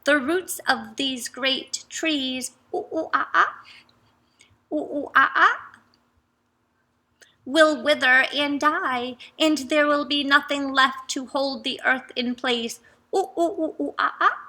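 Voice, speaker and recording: A chattering troop of storybook monkeys, hooting between every warning, as a woman puts them on. The voice sits high and sounds bright and lively. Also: takes it slowly, exaggerated voice. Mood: urgent. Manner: hooting "ooh ooh ah" calls.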